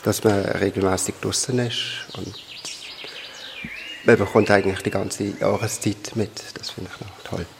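A young man speaks in a low, gravelly, very flat voice. A long reverb and faint birds are around him. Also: moderate pace, noisy background. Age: 20s. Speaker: apprentice. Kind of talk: interview answers.

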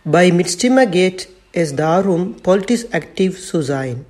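Slow-speaking man from Sri Lanka. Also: high voice, animated, a little mellow. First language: not German. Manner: slow, careful non-native delivery. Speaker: community member. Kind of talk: prepared personal statement.

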